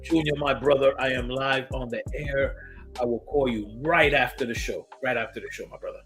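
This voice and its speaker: A man hosting a casual livestream, raspy and coughing, hyping up chat with sing-song shout-outs. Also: mid-range voice, fairly even intonation, brisk. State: coughing fits. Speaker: host. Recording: loud hip hop music behind. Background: hip hop music.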